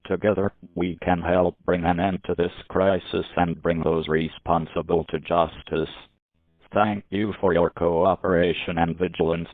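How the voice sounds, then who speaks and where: A dull, band-limited recording of a man with a very low voice and a dry, close sound. He delivers a scripted emergency alert as an announcer, formal and measured throughout. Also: little pitch movement, no room sound. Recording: muffled, band-limited lo-fi audio.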